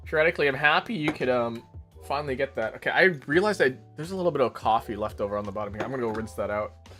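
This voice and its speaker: A man's voice: medium pitch, animated, rapid-fire, fairly bright tone, soft background music. A man streaming a casual chat, rambling to his viewers while making coffee. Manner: chatty, rambling, talks to chat.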